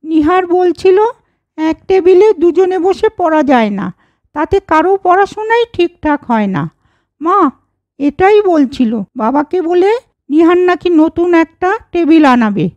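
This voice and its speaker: Woman playing a very high-pitched sensible schoolgirl. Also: lively intonation, a little slow, darkish tone, no echo, lo-fi sound. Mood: earnest, obliging. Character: sensible schoolgirl, dutiful big sister. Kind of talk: children's cartoon dialogue.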